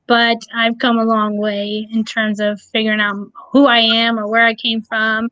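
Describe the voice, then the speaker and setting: A woman's voice: on the high side, monotone, moderate pace, sharp tone, clean tone, dry recording, highs cut off. A woman in her thirties, a guest on an interview, speaking openly and reflectively with no accent. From born in a Russian-speaking country, adopted to the US at six. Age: 30s. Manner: open, reflective, light nervous laughs. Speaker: guest, preschool educator and aspiring life coach. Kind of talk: candid personal interview.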